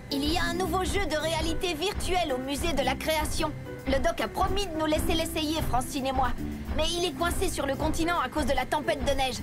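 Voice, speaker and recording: A woman's voice: high voice, close to an everyday voice. A woman voicing an eager, confident young boy in a French cartoon dub, chirpy and reassuring as he explains things. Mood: scheming, casual. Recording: loud music behind.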